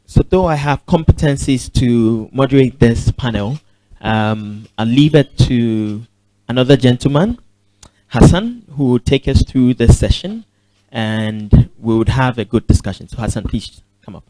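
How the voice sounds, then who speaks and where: Quiet male emcee. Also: medium pitch, expressive, unhurried, no room sound, rough recording. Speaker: master of ceremonies.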